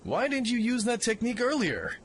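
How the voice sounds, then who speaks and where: A man acting a brash, excitable young mech pilot in a fan radio play. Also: very high voice, fairly quick, heavily acted. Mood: astonished. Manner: brash, cocky, exclamatory. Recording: clearly noisy background ambience.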